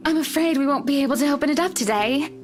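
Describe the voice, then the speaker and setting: An actress playing a genteel, wistful married woman in a visual novel game, speaking politely and in measured phrases with a creak in her voice. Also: high voice, darkish tone, faint music behind, natural voice. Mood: polite, resigned.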